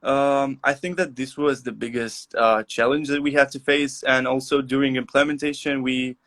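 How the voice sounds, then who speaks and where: A young man from Albania, answering interview questions over a video call with many "uh" and "um" pauses. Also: medium pitch, flat delivery, fairly bright tone. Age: young adult. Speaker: guest.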